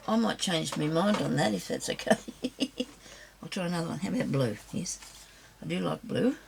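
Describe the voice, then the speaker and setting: A middle-aged woman with a low raspy voice, chatting and rambling to viewers through a crafting demo. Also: wildly swooping pitch, measured pace, pauses often, a little hiss or hum. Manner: rambling, self-chuckling, talks to viewers. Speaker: craft vlogger.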